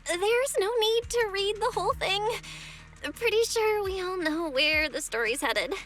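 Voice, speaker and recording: A spirited young game heroine, high in pitch. She comes across as impatient and youthful, in video game story acting. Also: unhurried, fairly bright tone, faint music behind.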